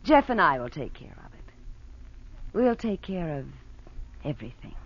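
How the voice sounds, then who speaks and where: Sweet-talking, scheming radio femme fatale. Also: female voice, lowish voice, very animated, normal speed, very noisy. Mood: falsely soothing. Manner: coaxing, calls people darling and honey. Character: cold, scheming blackmailer woman, sweetly manipulative. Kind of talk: 1940s radio crime drama acting. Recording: muffled old radio recording.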